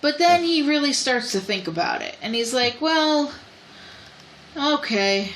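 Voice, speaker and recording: A woman's voice: high-pitched, expressive, a little slow, fairly bright tone, a little hiss or hum, rough recording. A woman co-hosting a movie review podcast, retelling scenes in detail while losing her voice. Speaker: podcast co-host. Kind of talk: casual movie review chat.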